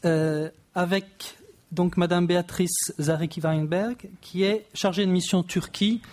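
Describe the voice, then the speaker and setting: A woman chairs a session and introduces the next speaker slowly and formally. Her voice is fairly low and sits some distance from the microphone. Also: fairly even intonation, a little mellow. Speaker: session moderator.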